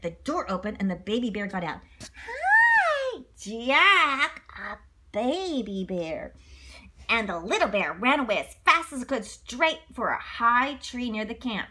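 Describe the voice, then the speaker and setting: A woman reading a story aloud for children, expressive and full of exclamations and asides to young listeners. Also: medium pitch, slightly rough.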